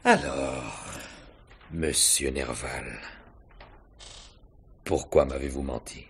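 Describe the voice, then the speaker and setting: Radio mystery inspector: courteous but probing, very deep, rough-edged voice, deliberate questioning. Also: male voice, fairly bright tone, full character voice. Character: shrewd, probing, courteous male police inspector. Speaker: actor in a radio mystery drama. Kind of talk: French radio mystery play acting, 1950s style.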